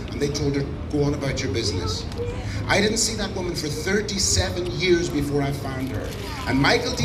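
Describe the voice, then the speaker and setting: An Irish man giving an impassioned, rousing speech at an outdoor street protest, shouting at times. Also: high voice. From Ireland. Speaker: rally speaker. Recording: roomy and distant over loud crowd noise. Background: large crowd.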